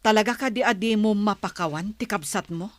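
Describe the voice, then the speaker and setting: A woman's voice: medium pitch, brisk, no room sound, lightly acted. A woman playing a weary, patient village mother who pleads with and admonishes her children, in an Ilocano-accented radio drama. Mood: pleading. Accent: Ilocano, northern Philippines.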